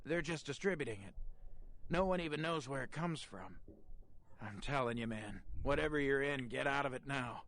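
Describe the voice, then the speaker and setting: A sleazy, cagey proprietor of a seedy adult shop, played by a man, in video game dialogue. He talks in brash street slang at a middling pitch, over noticeable background noise. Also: moderate pace, fairly bright tone, close to an everyday voice. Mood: cagey, uneasy.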